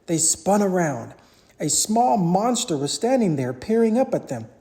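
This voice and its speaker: A parent narrates a children's storybook aloud. The delivery is animated, with pitch that swings freely through each sentence. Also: a little slow.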